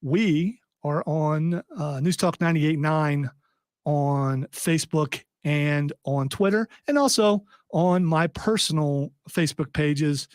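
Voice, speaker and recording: A joking, rambling man hosts a live talk show from a small studio. His voice sits a little high, and the sound is dry and close to the mic. He sounds relaxed and chatty. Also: slow pace, no room sound. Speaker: podcast host. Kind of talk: casual live show chat.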